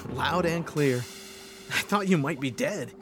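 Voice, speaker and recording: A man playing an embattled, wry and excitable space fleet lieutenant in an audio drama, talking in a hesitant, urgent mutter. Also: fairly high pitch, takes it slowly, noisy background. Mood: excited relief. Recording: loud engine-like ship ambience behind.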